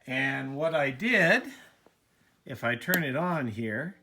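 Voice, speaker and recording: A man's voice: medium pitch, expressive, takes it slowly, pushed and bright, a little hiss or hum. Casual man showing off hobby gear. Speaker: radio hobbyist, video presenter. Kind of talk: casual demonstration talk.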